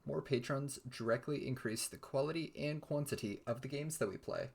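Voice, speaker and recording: A man with a husky, gravelly voice delivering a podcast intro and appeal for listener support as a cast member. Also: mid-range voice, moderate pace, darkish tone.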